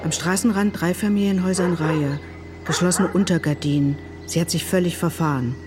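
A woman's voice: on the low side, a little fast, background noise. A woman narrating an audio play, reading scene directions in clipped, descriptive phrases.

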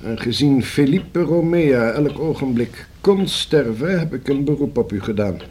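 A man's voice: mid-range voice, animated, unhurried, a little mellow, husky. An actor in a radio play as a guarded male notary, speaking in stiffly formal, precise and evasive phrases. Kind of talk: radio drama acting. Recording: hissy old radio play recording.

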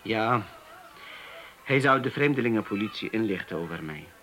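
An actor playing an earnest young Turkish waiter who speaks haltingly, with many hesitations, in a radio play. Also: male voice, on the low side, animated, a bit bright, slight background noise, rough recording. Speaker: Turkish waiter in a police interview.

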